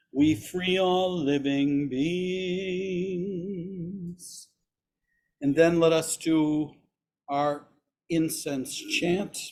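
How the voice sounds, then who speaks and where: A man with a raspy, hoarse voice leading a Buddhist service online, slowly intoning chants and gentle instructions. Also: high-pitched. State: hoarse after surgery. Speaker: Buddhist teacher leading practice. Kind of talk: leading a chanted metta practice.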